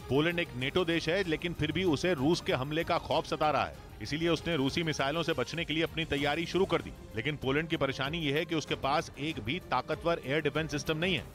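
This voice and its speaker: A man reading a scripted news bulletin in a steady, formal newsreader delivery. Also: on the high side. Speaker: news reader.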